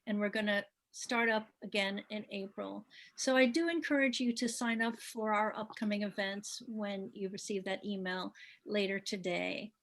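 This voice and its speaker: A woman giving a spoken welcome as the host of an online program. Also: a little high. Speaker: event host introducing the program.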